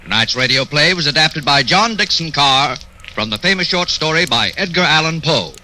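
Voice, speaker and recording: A man's voice: fairly high pitch, lively intonation, moderate pace, bright, forceful tone, a little hiss or hum, low-quality recording. A man announcing a radio drama in a formal, dramatic, scripted 1940s style. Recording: vintage radio broadcast recording.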